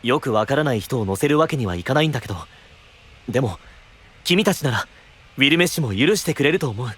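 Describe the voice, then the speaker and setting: An actor playing a courteous, gentle young knight in an anime-style audio drama, with an earnest, polite manner. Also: male voice, mid-range voice, animated, quick pace, breathy edge.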